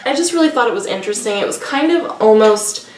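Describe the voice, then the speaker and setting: A woman's voice: a little high, animated, quick pace, a little mellow. A woman co-hosting a book vlog in casual, unscripted chat, talking hesitantly as if thinking aloud. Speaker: co-host of a book discussion vlog. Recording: echoey room, distant mic, faint noise.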